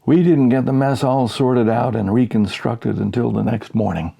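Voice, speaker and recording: A man reading a humorous story aloud as scripted narration, deadpan and suspenseful, with dramatic pauses. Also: mid-range voice, vocal fry, breathy edge. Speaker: audiobook reader.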